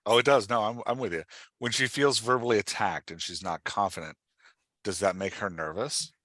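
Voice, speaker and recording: Bright-voiced man coaching in conversation. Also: lowish voice, brisk, no echo, clean studio sound. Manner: emphatic, explanatory, asks leading questions. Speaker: podcast host and writing coach.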